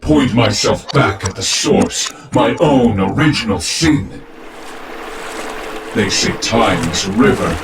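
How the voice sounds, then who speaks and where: Low, slow, grandiose villain acted by a man. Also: gravelly, background noise, heavily acted. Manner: theatrical, pompous, deliberate. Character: grandiose older male former professor, godlike cosmic villain. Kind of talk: dramatic monologue in audio drama. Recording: roomy, distant echo under loud music.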